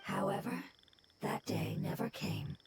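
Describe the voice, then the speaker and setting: A woman voicing a gentle plant creature elder who speaks calmly and breathily. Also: measured pace, very noisy, natural voice. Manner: calm, breathy, soft-spoken. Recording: game audio over loud music.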